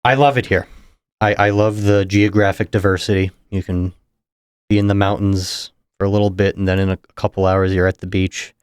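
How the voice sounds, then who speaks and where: A young man from New Hampshire, a political candidate, explaining things in a measured, casual interview manner. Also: deep voice, clear, resonant tone, no echo, clean studio sound. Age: young adult. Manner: measured, explanatory, chuckles between points.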